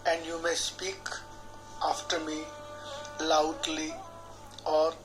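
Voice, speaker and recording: A man, a healer guiding a tapping session in a slow, measured, soothing way, speaking repeated affirmations phrase by phrase. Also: a little high, monotone, rough recording. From India. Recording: clear background music under the voice.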